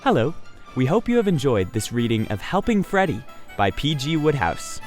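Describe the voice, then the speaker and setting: Animated man presenting a scripted outro. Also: medium pitch, moderate pace, faint music behind. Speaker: presenter giving closing announcement.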